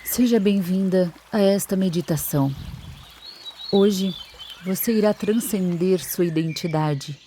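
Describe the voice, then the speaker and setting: A Brazilian woman narrating a scripted guided meditation in a soothing, measured, instructional manner. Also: fairly low pitch, darkish tone. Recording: constant waterfall noise behind the voice. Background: waterfall and nature sounds.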